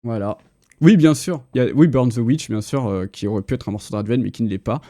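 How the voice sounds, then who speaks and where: A man's voice: mid-range voice, fast talker, dark tone, no room sound, clean studio sound. A man, hosting a casual, slangy pop culture livestream chat with enthusiasm. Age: 30s. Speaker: host. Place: indoor livestream.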